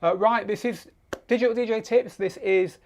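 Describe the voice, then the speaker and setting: A man's voice: high-pitched, steady tone, moderate pace, a little mellow. A man hosting a casual live question-and-answer show, explaining off the cuff in a conversational way and repeating words for emphasis.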